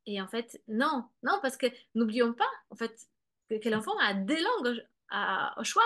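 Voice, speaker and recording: A woman with a somewhat high voice talks through an expert explanation in conversation. She hesitates often, restarts her sentences and fills the gaps with small sounds. Now and then she slips into the voices of children and parents to show her point. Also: big pitch swings, unhurried, pushed and bright. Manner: hesitant, many fillers and restarts; acts out children's and parents' words. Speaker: guest expert on bilingual children.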